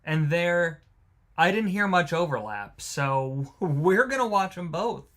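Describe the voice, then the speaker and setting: A man's voice: on the high side, very animated, takes it slowly, fairly bright tone. A man hosting a live watch-along, chatting casually with viewers, joking and greeting them by name. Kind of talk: casual live-stream commentary.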